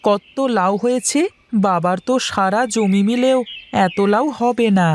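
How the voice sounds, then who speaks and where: A woman's voice: mid-range voice, a little slow, slight background noise, close to an everyday voice. A woman voicing a sweet, eager little village boy in a cartoon, childlike and earnest. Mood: proud, reassuring. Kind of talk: Bengali cartoon voice acting.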